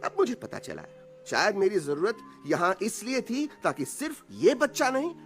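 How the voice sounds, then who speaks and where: A man voicing a showy, heartfelt parrot in an animated film, emphatic and dropping in English phrases. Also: sits high, moderate pace, faint music behind, full character voice. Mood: stirring, heartfelt.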